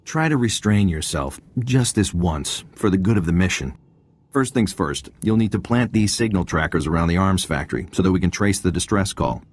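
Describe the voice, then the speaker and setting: Male for game intelligence agent: deep bass register, wry, brisk, sure of himself. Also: lively intonation. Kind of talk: video game dialogue.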